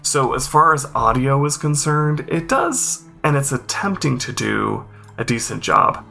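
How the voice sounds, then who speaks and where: Man, reviewer, informal walkthrough commentary, creaky bright voice, hesitant explanatory delivery, music behind. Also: medium pitch, expressive, unhurried. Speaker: host, game accessibility reviewer.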